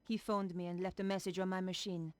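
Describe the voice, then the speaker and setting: A woman's voice: fairly low pitch, level tone, a little fast, a little mellow, no room sound, natural voice. A woman playing a determined, stubborn young investigative reporter in an adventure game. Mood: inquisitive. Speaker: game protagonist and narrator.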